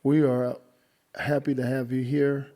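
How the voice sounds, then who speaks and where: A man's voice: medium pitch, flat delivery, a little slow, warm tone. A man hosting a podcast in casual chat, earnest and affirming, giving blessings to his guest. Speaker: podcast host.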